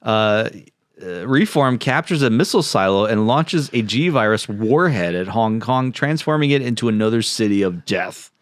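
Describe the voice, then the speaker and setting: A man's voice: lowish voice, expressive, normal speed, no echo, clean studio sound. A man co-hosting a podcast, bantering casually and reading out absurd plot summaries with mock-dramatic delivery. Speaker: podcast co-host.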